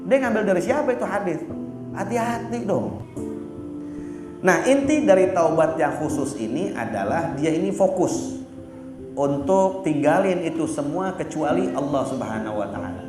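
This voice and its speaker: Blunt high-pitched male preacher, echoey hall. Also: lively intonation, moderate pace. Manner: casual and blunt, teasing, fillers like "apa namanya". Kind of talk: religious sermon. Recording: echoey room with music behind.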